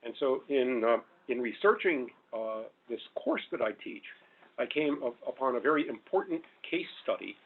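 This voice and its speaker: A middle-aged man giving a panel talk as a professor, loud-voiced and hesitant, full of fillers. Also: medium pitch, expressive, normal speed, breathy edge. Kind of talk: public talk with slides. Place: meeting room. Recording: phone-like narrow audio.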